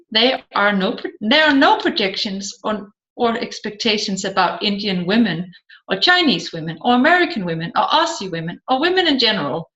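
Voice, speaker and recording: A woman's voice: medium pitch, a bit bright. A woman narrating a self-help audiobook, reading out seminar talk and question-and-answer exchanges. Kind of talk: read-aloud self-help seminar transcript with dialogue. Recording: roomy echo, slightly dull lo-fi sound.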